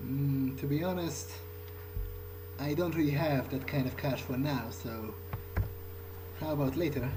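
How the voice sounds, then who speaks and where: A man's voice: mid-range voice, fairly even intonation, measured pace, pauses often, noisy background, close to an everyday voice. A man role-playing a newly turned, puzzled vampire during a game playthrough, talking in a casual, questioning, hesitant way. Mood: casual, persuading. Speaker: game player role-playing his character. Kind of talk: improvised in-character gameplay talk.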